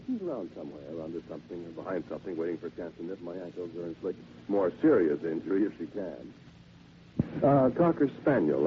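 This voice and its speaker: A man's voice: sits low, a little hiss or hum. An actor playing a bitter, sardonic middle-aged widower who works as a salesman, in 1940s radio drama style, on a muffled vintage radio transcription. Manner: wry, taunting, chuckling to himself.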